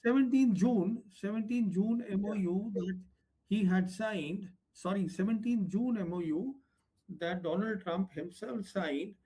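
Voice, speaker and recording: A man's voice: sits high, slow, dark tone, slightly rough, poor audio. A man giving spontaneous geopolitical commentary as an interview guest, emphatic and repeating himself. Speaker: interview guest, political analyst.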